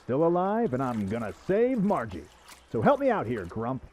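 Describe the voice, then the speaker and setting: An actor playing a brash, cheeky young runaway prince in an audio drama. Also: male voice, medium pitch, huge pitch swings, normal speed, darkish tone, slight background noise. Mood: cheeky, determined. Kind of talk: radio-play acting.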